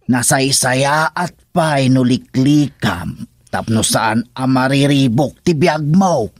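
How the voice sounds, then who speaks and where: A man's voice: medium pitch, expressive, slow, no echo, clean studio sound. An actor playing an older father who gives sympathetic, consoling counsel in a radio drama. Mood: concerned, consoling.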